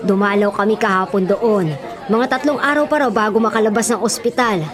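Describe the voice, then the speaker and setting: A woman's voice: mid-range voice, brisk, close to an everyday voice. A woman with a Filipino accent playing a kind, worrying older mother in a radio drama. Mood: worried, gentle. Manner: fretful, exclaiming. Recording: music and crowd noise behind. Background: music, crowd sounds.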